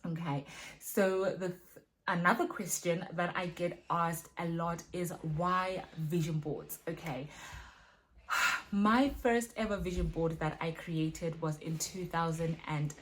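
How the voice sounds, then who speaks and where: A woman's voice: on the low side, a bit bright, slight background noise. A woman from Zimbabwe, a mother and women's ministry leader, chatting casually through a self-filmed Q&A, recorded on her phone, with many fillers and tag questions. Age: adult with children.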